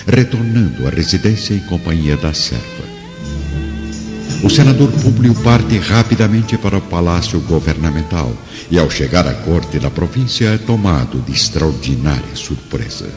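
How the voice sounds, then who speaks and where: A man's voice: low voice, lively intonation, moderate pace. A man with a Brazilian Portuguese accent giving grave, dramatic scripted narration for a radio drama. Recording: dull lo-fi, long reverb, music behind.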